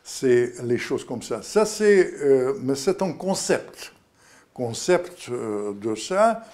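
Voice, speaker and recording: A man's voice: medium pitch, very animated, takes it slowly, a little mellow. An older man with Russian-Ukrainian roots, a guest author speaking in an interview, rambling with many restarts and fillers.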